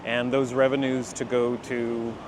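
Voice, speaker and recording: A man giving a measured, halting explanation in an outdoor interview. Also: medium pitch, level tone, background noise.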